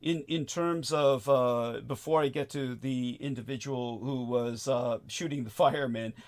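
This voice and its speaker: A man's voice: mid-range voice, fairly even intonation, unhurried. A man hosting a live political talk show, talking in a wordy way and often repeating words as he steers guests.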